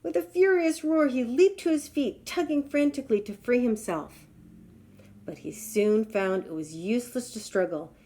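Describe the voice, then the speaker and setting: A woman's voice: a little high, lively intonation, moderate pace, warm tone. A woman storyteller reading a children's tale aloud in a dramatic, emphatic way.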